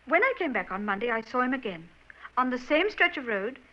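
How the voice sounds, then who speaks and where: A woman's voice: high voice, very animated, fairly quick, bright, forceful tone, heavily acted. An actress playing an earnest young Victorian woman in an old radio drama, talking in a hesitant, genteel way. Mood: worried. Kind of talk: 1940s radio acting. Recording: dull old radio broadcast.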